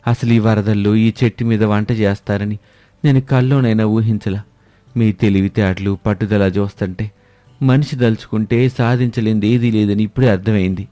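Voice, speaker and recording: Man playing humbled village man, dark mellow voice. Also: on the low side, fairly even intonation, normal speed, close to an everyday voice. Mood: amazed. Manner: emotive, respectful. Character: Appanna, amazed, humbled, tearfully grateful village man. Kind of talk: animated moral story dialogue.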